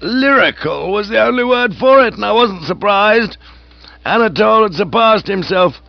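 A man reading aloud voices a loud, hearty older aunt who talks bluntly and bossily. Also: high-pitched, expressive, normal speed, vocal fry, dry recording, voice pushed up. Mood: enthusiastic. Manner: loud, emphatic, bossy. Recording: dull, dry recording.

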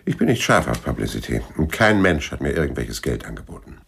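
An actor playing a dry, unimpressed private detective, laconic and deadpan, with a gravelly voice in a hardboiled radio drama. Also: male voice, very deep voice, a little fast, no echo, exaggerated voice. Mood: curt, unimpressed. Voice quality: gravelly, slightly husky.

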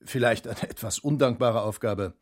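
A man's voice: mid-range voice, close to an everyday voice. Actor as a courteous, businesslike male supervisor. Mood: polite, businesslike. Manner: courteous, formal, brisk authority. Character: businesslike male supervisor instructing a new trainee. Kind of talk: German radio drama acting.

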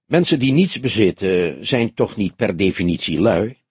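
A man playing an old grey-haired police detective in an audiobook. He probes with wry, deliberate mock innocence, his husky voice rising and falling in a lively way. It is recorded dry. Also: lowish voice, no room sound, full character voice. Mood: probing. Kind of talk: Dutch audiobook character dialogue.

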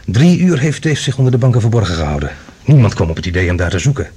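A man's voice: lowish voice, very animated, fast, dark tone, husky, exaggerated voice. A male actor playing an agitated, earnest man bringing alarming news in a science fiction radio play. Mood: grave, tense. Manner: urgent, earnest, dramatic.

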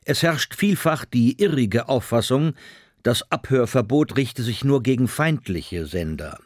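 A man with a mid-range voice reads out official documents. His delivery is deliberate, sober and formal, recorded dry with no room sound. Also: mid-range voice, animated, dry recording. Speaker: reader of historical documents.

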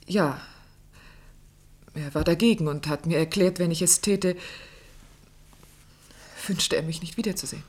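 A loyal, worried young lady in a radio play. Her voice sits a little low and stays restrained, earnest and carefully articulated. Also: unhurried, pauses often, a little mellow, breathy edge. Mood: anxious, earnest. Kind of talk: German radio play acting.

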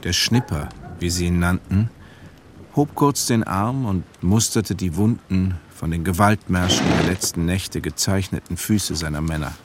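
Ein Schauspieler als sachlicher Erzähler einer literarischen Kriegsgeschichte im Hörspiel, gemessen und nüchtern vorgetragen. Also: male voice, deep voice, noisy background. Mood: sober. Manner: measured, sober. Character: sober male narrator of a war story. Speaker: narrator. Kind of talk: literary scripted narration. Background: music.

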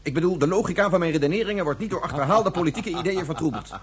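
A man's voice: sits high, rapid-fire, breathy edge. An actor playing a proud, coerced male scientist in a Dutch science fiction radio drama, terse, controlled and defensive. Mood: guarded, defiant.

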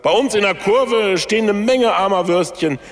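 An actor playing a brash, plain-spoken populist candidate for chancellor in a satirical radio play. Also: male voice, sits high, lively intonation, normal speed. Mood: defiant, frank. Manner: plain-spoken, colloquial, combative. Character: brash, blunt populist chancellor candidate and Schalke football fan. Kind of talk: radio satire political speech. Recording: hall reverb, music behind.

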